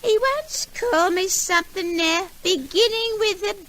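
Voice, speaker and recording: An Australian actress playing a touchy, proud, dim working-class household helper in an old-time radio comedy, with ungrammatical speech and fussy cooing. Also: female voice, very high-pitched, moderate pace, a bit bright. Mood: proud, earnest. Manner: ungrammatical, indignant, cooing baby talk. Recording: noisy old radio recording.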